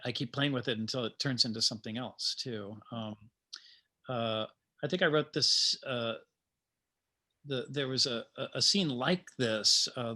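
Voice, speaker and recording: A man talking informally as a playwright about his work, in a halting, self-amused way full of trailing asides. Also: medium pitch, bright, forceful tone. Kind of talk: informal panel conversation.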